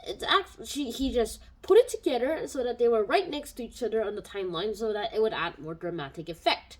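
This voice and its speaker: A woman talks through a book review in a casual, unscripted way. She thinks aloud and circles back on her points, and her pitch rises and falls a lot. She speaks into a home microphone. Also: animated, brisk. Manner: rambling, thinks aloud with fillers and restarts.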